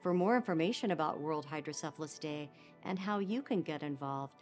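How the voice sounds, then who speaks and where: A woman with a lowish voice reads a scripted announcement, even and formal. Soft music plays underneath her. The delivery is steady and polished. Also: fairly quick. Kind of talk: scripted awareness narration.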